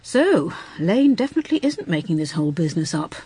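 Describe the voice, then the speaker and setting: An actress playing a shrewd, practical 1930s private detective in a British radio drama, English and briskly persuasive. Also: female voice, fairly low pitch, sing-song, dark tone, slightly rough. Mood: brisk, reasoning.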